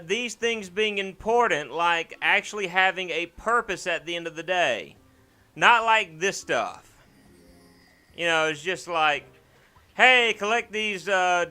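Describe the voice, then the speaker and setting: Man, let's play commentary, slightly high pitch, sharp bright tone, joking, rambling, repetitive. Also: smooth. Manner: rambling, joking, repeats words. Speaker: gaming channel host.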